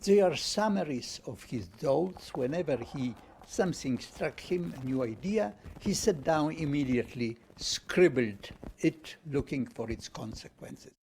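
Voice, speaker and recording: A man's voice: on the high side, expressive, unhurried, hardly any pauses, darkish tone, a little hiss or hum, poor audio. A man being interviewed, giving explanatory remarks.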